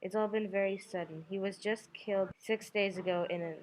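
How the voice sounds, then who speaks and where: A woman's voice: medium pitch, steady tone, a little fast, a little hiss or hum, natural voice, poor audio. A young woman playing a formal, composed lady answering a household telephone in a radio play, polite and matter-of-fact. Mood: grave, matter-of-fact. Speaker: student actor.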